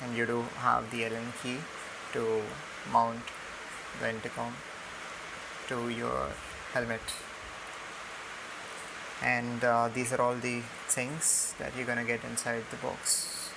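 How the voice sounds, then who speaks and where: Flat-toned Indian man with a gravelly edge. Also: medium pitch. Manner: hesitant, halting delivery full of ums. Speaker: product reviewer. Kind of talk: casual unboxing and tutorial narration. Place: indoors on a room floor. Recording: noisy home recording with steady hiss.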